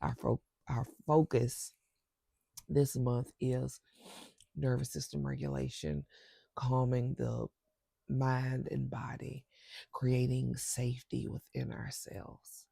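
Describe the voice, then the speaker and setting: A woman with a stuffy nose guiding a spiritual intention ceremony with breathwork, speaking slowly and softly and often dropping to a whisper. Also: a bass voice, flat delivery, gravelly, husky, dry recording. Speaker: spiritual circle facilitator.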